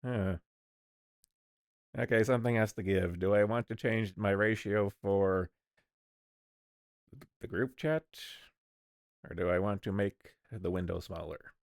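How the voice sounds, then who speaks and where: A man's voice: fairly low pitch, a little slow, long pauses, dry recording, studio quality. A man hosting a casual online stream chat, rambling and restarting his sentences while he sets up and talks. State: coughing lately, sick. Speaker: streamer host.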